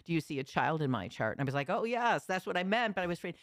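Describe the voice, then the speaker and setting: A woman's voice: fairly low pitch, sing-song, rapid-fire, no room sound. Mature female interview guest, astrologer, reflective conversational storyteller, recorded dry and close.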